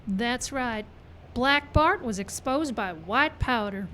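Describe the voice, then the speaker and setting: Woman acting a high-voiced, confident Western lady sleuth. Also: lively intonation, takes it slowly, a bit bright. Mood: confident, explaining. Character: Penny, sharp, confident woman sleuth of the Wild West. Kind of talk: live old-time radio mystery acting. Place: live show in a park. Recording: live outdoor stage, some background noise.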